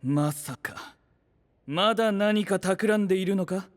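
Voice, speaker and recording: Pompous, high-voiced young inventor. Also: male voice, takes it slowly. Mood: suspicious. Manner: pompous, formal, lecturing. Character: haughty, stiff, suspicious young male inventor. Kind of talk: Japanese anime-style audio drama acting.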